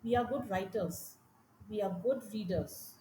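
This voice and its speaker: A woman with an Indian English accent, a teacher speaking as a podcast guest, talking in an animated, emphatic way and often repeating her points. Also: mid-range voice, measured pace, slight background noise. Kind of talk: conversational interview. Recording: echoey room with faint ambience.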